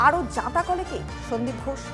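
Woman doing dramatic news headlines, high voice, music going underneath. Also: lively intonation, quick pace. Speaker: news presenter.

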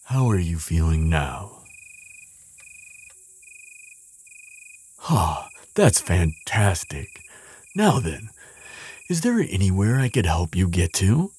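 A man playing a gentle, bookish giant in a roleplay audio drama, speaking to the listener in a tender, reassuring, politely hesitant way, with a husky, breathy voice. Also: low voice, very animated, slow pace, slight background noise, exaggerated voice. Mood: concerned, pondering. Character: gentle, bookish male giant, kindly and protective.

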